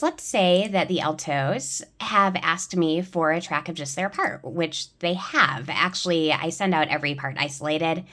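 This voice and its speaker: Woman, choir director type, somewhat low register, informal step-by-step instructional delivery. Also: a bit bright. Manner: explains step by step. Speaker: choir director giving a software tutorial. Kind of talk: informal spoken tutorial.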